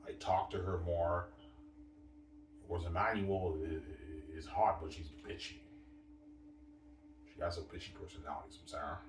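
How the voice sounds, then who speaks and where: Male, 30s, very low pitch, rambling monologue vlogger, distant mic in an echoey room. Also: level tone, moderate pace, pauses often. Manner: repetitive, fills with "obviously" and "you know what I'm saying". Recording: roomy and distant with faint background hiss.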